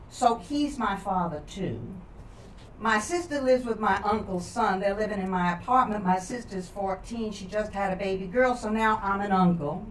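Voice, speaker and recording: A woman's voice: mid-range voice, close to an everyday voice. Woman as a polite schoolboy, roomy and distant. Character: polite, matter-of-fact teenage schoolboy explaining his tangled family. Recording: roomy echo, distant mic, background noise.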